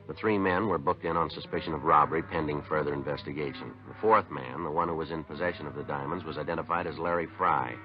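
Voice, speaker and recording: An actor playing a terse, no-nonsense police detective who also narrates, clipped and matter-of-fact, in a 1950s radio drama. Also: male voice, extremely low pitch, fairly even intonation, fast, few pauses, over music. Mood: deadpan. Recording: dull 1950s radio recording.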